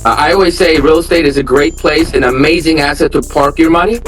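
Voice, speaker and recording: A man's voice: fairly high pitch, fast talker, a bit bright. Young man, casual talk over music. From Armenia. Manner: candid, plainspoken, lots of fillers. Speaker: real estate investor guest. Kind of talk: casual interview storytelling.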